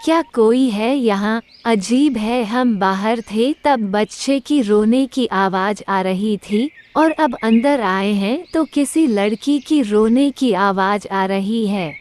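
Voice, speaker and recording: A woman's voice: a little high, darkish tone, a little hiss or hum. A woman voicing a curious, caring female crow who is the wife in a cartoon story. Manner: slow, questioning. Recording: music underneath.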